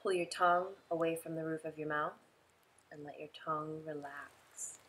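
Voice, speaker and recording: A woman leading a guided relaxation meditation in a soothing, unhurried way, giving instructions in short, spaced phrases. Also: low voice, fairly even intonation, a bit bright, slight background noise, rough recording.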